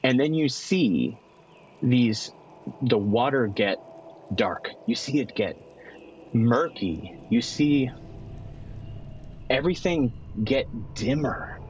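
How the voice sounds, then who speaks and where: A man narrating a tabletop roleplaying game as game master, descriptive and pausing for dramatic effect. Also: mid-range voice, expressive, a little mellow, dull audio, slight background noise. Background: music bed.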